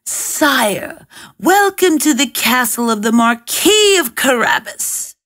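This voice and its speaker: A woman's voice: high voice, big pitch swings, slow pace, fairly bright tone, voice pushed up, heavily acted. A woman voicing a crafty, confident, persuasive talking cat in a storybook tale. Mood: sly flattery. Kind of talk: storybook character voice.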